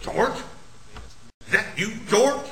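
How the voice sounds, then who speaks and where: A man playing a gruff small-town cop. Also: high-pitched, animated, slow, heavily acted. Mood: concerned. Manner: blunt, folksy. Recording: echoey, loud music behind.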